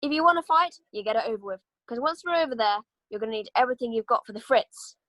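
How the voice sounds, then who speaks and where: A woman's voice: a little high, expressive, fast, a bit bright, no room sound. A woman playing a blunt, no-nonsense male army sergeant who handles horses, in an amateur script read-through.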